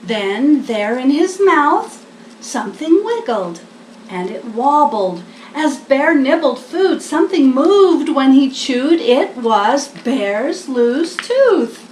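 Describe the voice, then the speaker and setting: Older female storybook reader: high register, slow, expressive delivery for young kids, roomy home-style recording. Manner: deliberate, expressive, talking to small children. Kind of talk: children's picture-book read-aloud. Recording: echoey room, distant mic, faint noise.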